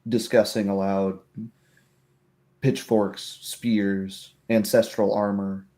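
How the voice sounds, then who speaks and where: Lowish voiced guy running a tabletop rpg, narrating the scene. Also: flat delivery, slow, dark tone. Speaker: game master.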